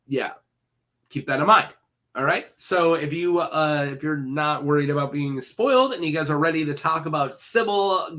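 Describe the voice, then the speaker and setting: A man's voice: on the high side, a bit bright. A man with a head cold talking casually about fantasy lore, rambling with many fillers and restarts. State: head cold, occasional coughing. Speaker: lore video host. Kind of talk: casual lore deep dive.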